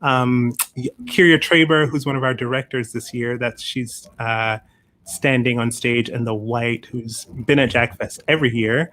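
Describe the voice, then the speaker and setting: A man's voice: mid-range voice, steady tone, moderate pace, fairly bright tone. A man co-hosting an online event in casual, loosely phrased conversational talk. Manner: informal, loosely phrased with frequent "like". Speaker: festival founder and co-host. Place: online video call.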